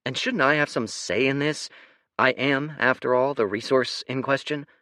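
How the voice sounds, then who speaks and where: A man's voice: mid-range voice, normal speed, bright, forceful tone, dry recording, pitched above the performer's own voice, lightly acted. A man voicing a wry young man who makes dry, sarcastic remarks. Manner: dry, sardonic.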